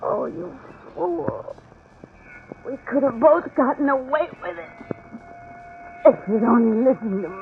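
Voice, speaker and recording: A woman's voice: sits high, very animated, a little slow, creaky, long pauses, narrow, tinny audio, exaggerated voice. An actress playing a scheming, vain woman in a 1940s radio horror drama, urgent and melodramatic. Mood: dying, bitter. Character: scheming, vain woman pleading with an armed killer. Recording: noisy, thin old radio broadcast recording.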